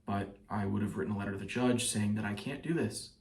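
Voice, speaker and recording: A man performing a stage monologue as a shaken, bitter trial juror, speaking haltingly like someone giving testimony. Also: lowish voice, gravelly, darkish tone. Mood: subdued, haunted. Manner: halting, with pauses and repeated phrases. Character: shaken male trial juror, hounded, guilt-ridden and bitter. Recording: roomy echo, distant mic.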